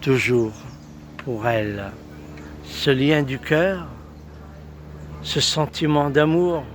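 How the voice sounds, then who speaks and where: Slow-paced man reciting poetry. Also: mid-range voice, fairly bright tone, slight background noise. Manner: solemn, lyrical delivery in short halting phrases. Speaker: poet reciting own poems.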